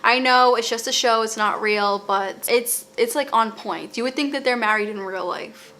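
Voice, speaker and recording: A woman's voice: on the high side, moderate pace, pushed and bright. A woman with a Boston accent, casually recommending TV shows in a rambling, chatty way with fillers and restarts. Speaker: lifestyle vlogger.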